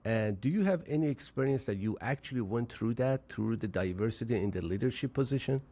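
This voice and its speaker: A man, from Iran, hosting a podcast interview, speaking in halting phrases with frequent restarts. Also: medium pitch, animated, mellow, a little hiss or hum. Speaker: podcast host. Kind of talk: conversational interview.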